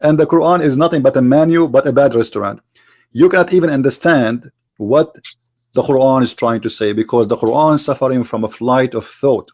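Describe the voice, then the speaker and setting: A man's voice: medium pitch, a little fast, a little mellow. A man whose first language is Arabic, a podcast guest arguing points at length in non-native English with many fillers. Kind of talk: podcast interview.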